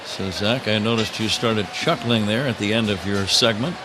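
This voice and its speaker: A man's voice: lowish voice, moderate pace. A man doing live baseball play-by-play on the radio from a ballpark booth. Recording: crowd and stadium noise behind. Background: ballpark crowd and ambient noise.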